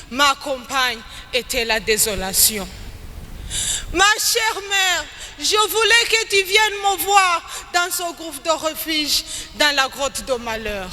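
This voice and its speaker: A woman's voice: sits high, pushed and bright. A woman reciting dramatic spoken-word poetry on stage, with an emphatic, declamatory delivery. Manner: slow, emphatic, declamatory delivery with rising and falling phrases. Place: large hall at a live event. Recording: echoey hall with background noise.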